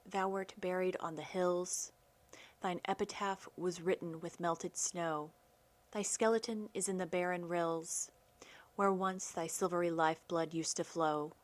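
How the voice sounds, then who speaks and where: Woman radio host doing a poetry reading, kinda low voice, careful deliberate phrasing. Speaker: poetry program host and reader.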